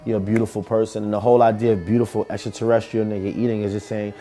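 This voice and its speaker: A man's voice: on the low side, racing, soft background music. A young man, a rapper telling loose, rambling stories in a casual interview. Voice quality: smooth. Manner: loose, rambling, animated storytelling.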